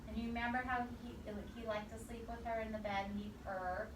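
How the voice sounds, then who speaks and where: A woman's voice: fairly high pitch, little pitch movement, a little fast. A woman teacher going through a picture book with young children, asking questions and repeating answers. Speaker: preschool or kindergarten teacher. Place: indoor classroom. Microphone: camera a few metres away, voice through face mask. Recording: echoey classroom, distant mic, clear background noise.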